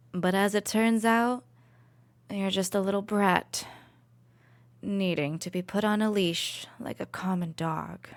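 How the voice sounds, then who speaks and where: A woman playing a cruel, possessive royal villainess in an ASMR roleplay, condescending and taunting with soft, menacing asides. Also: medium pitch, no echo, lightly acted. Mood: mocking, menacing. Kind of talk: ASMR roleplay, dramatic monologue to listener.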